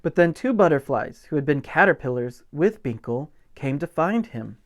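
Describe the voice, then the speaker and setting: A man reading a picture book aloud as a pastor at storytime, with gentle expression and simple asides for young children. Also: a little high, normal speed. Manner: gentle, expressive, stretches key words for emphasis.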